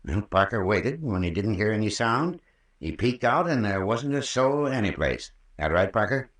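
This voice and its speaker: Brief: soothing rural American country doctor, low voice, unhurried old-radio storytelling, roomy distant recording. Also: male voice, very animated, a bit bright. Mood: patient recounting. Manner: folksy, unhurried, patient storytelling. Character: folksy country doctor, soothing, long-winded peacemaker. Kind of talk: old-time radio drama acting.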